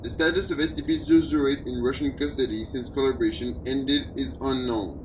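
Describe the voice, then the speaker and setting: A man acts as a male research doctor narrating a secret file for an audio drama. His delivery is clinical, formal and measured. The voice sits back from the mic in an echoing room, with clear noise and rumble over it. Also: fairly even intonation, close to an everyday voice. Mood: clinical, detached. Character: male research doctor reading classified containment audio log. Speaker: narrator of a fictional audio log. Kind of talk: scripted narration, formal document reading. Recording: roomy, distant mic, noisy with low rumble.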